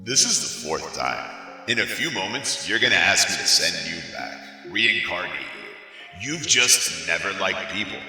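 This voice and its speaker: Wry heavenly ruler played by a man, lively and measured, drenched in echo, music underneath. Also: sits low, heavily acted. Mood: frank, exasperated. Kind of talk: audio play acting. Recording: long echoing hall reverb, music underneath.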